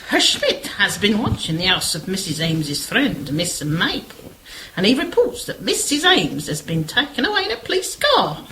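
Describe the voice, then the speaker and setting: A woman playing a cold, deliberate, menacing spymaster bent on revenge in a radio play. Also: medium pitch, very animated, pushed and bright, poor audio. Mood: cold, scheming. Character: scheming vengeful female foreign spymaster. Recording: clear background noise.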